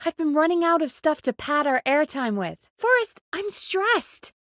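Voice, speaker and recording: A woman's voice: high-pitched, normal speed, dry recording, clean studio sound. A woman voice actor playing an earnest, dedicated radio producer who gets exasperated easily, in natural video game dialogue. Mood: stressed, exasperated. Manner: earnest, expressive, exasperated at times.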